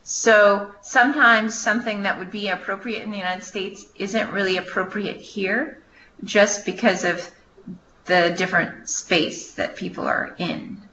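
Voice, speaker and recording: A woman with a bright, slightly low voice explains things on a conference call, thinking aloud as she goes. The line is dull, with faint noise behind her. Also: fairly even intonation, unhurried. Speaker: Peace Corps staff member answering questions. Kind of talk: informal question-and-answer on a conference call.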